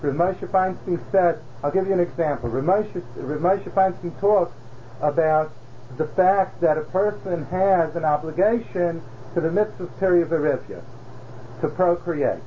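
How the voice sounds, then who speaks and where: A man's voice: fairly high pitch, unhurried, slight background noise. A man teaching a religious class, explaining patiently and checking in with rhetorical questions. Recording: dull lo-fi class recording.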